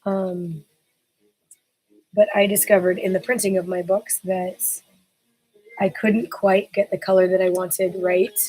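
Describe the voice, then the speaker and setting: A middle-aged woman with a hoarse, worn-out voice, an author talking casually on a live stream with halting speech and frequent filler sounds. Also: fairly low pitch, flat delivery, dark tone, rough recording. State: hoarse, losing her voice. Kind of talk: casual live-stream talk about her book.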